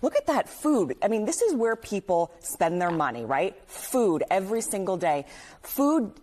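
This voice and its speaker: A woman's voice: mid-range voice, sing-song, moderate pace, a little mellow. A woman reporting business news live, explaining economic figures in an emphatic way.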